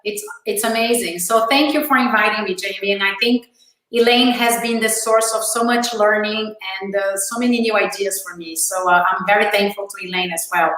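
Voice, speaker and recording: Brazilian-accented woman guest, upbeat and thoughtful, mid pitch, super echoey room. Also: fairly even intonation, moderate pace, fairly bright tone. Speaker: guest entrepreneur. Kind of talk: interview conversation.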